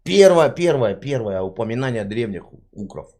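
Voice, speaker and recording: Guy grilling someone on a video chat, mocking, cuts in a lot, pitch all over the place. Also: very animated, moderate pace, a little mellow. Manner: mocking, interrupting, interrogating. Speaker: stream host.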